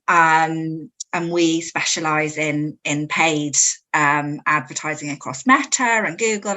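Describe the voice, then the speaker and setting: Bright-voiced, slightly low female guest. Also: low-quality recording. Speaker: panel guest, marketing agency co-owner. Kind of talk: casual discussion with anecdotes.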